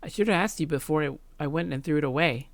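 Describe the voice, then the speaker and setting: A woman's voice: deep voice, quick pace, no room sound. A woman voicing an awkward, guilt-ridden teenage schoolboy in a visual novel, speaking hesitantly. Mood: apologetic. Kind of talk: visual novel character dialogue.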